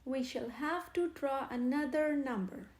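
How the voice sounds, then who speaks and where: A woman doing a slow, solemn vicar calling out a raffle. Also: sits high, a little mellow. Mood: announcing. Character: formal, insistent male church vicar.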